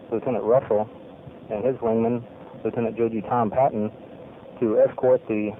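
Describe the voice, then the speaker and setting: Navy pilot's tinny archival debrief. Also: male voice, medium pitch, slight background noise. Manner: measured, clipped military phrasing. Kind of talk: formal spoken mission debrief. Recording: tinny lo-fi archival radio recording.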